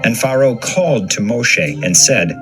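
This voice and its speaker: A man's voice: mid-range voice, expressive, measured pace, music in the background. A man narrating a dramatized scripture reading as a scripted narrator.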